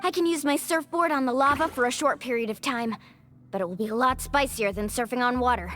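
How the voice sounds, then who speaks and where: A woman's voice: high voice, fairly quick, a bit bright, soft background music. A young woman playing a confident, caring adventure guide and surfer in a video game. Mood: urgent.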